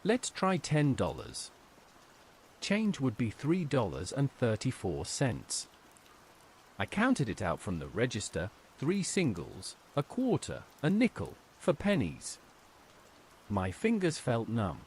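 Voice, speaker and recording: A man's voice: medium pitch, wildly swooping pitch, slow pace, darkish tone, a little hiss or hum. A man reading a scripted first-person horror story in a dramatic, suspenseful way.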